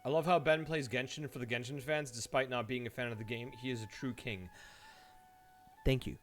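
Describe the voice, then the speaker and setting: A man's voice: medium pitch, steady tone, a little fast. A man doing casual live game-stream commentary, joking and sweary as he reacts. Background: game music.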